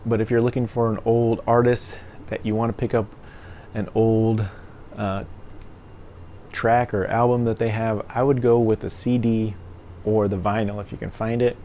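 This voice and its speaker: A man's voice: on the low side, level tone, moderate pace, lo-fi sound. A middle-aged man giving his opinions in a casual, conversational vlog style, rambling along as a reviewer. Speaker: music gear reviewer and vlogger. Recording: clear background noise.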